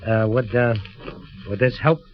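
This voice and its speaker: Casting: male actor as slick, worldly crook, mid-pitched, casual tough-guy slang, coaxing; dull old-time radio sound. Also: measured pace, a little hiss or hum, lightly acted. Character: smooth, worldly gangster posing as a friendly prankster. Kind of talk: 1940s-50s radio drama acting. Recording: dull vintage radio recording.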